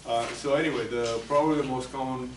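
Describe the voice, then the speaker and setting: Soft-spoken older man with Spanish accent. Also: medium pitch, steady tone, fast talker. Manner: soft-spoken, hesitant with many fillers. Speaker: psychologist presenting to lawyers. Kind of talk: continuing-education lecture. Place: meeting room. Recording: echoey room, mic far off, noisy.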